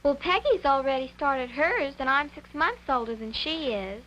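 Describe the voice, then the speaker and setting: A woman's voice: sits high, lively intonation, normal speed, a bit bright, lo-fi sound, a little hiss or hum. A woman playing an eager, innocent American girl of about twelve in a 1950s educational film, speaking in an earnest, exclamatory way. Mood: defensive, curious.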